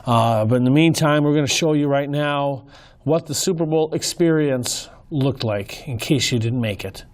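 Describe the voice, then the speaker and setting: A man hosting a casual talk show, hoarse, speaking in an earnest, folksy way with many fillers and restarts. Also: a little high, moderate pace, a little hiss or hum. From Minnesota, US. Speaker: host. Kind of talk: casual commentary.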